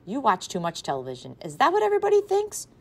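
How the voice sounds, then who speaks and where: A woman's voice: on the high side, huge pitch swings, fast talker, voice pushed up, exaggerated voice. A woman voicing an exasperated, practical mother of schoolkids who asks incredulous questions.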